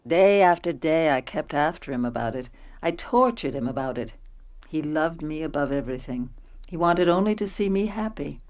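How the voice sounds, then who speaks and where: A woman's voice: sits low, lively intonation, moderate pace, a little mellow, highs cut off. A woman acting a self-possessed wife who narrates her past in a radio play. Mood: reflective, confessional. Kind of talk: dramatic scripted first-person narration.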